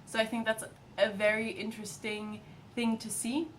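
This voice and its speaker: A young woman in her twenties, an intern, talking in a relaxed, chatty testimonial full of fillers. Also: a little high, normal speed, slight background noise. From Austria and Germany, grew up in China and Thailand. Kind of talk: casual testimonial.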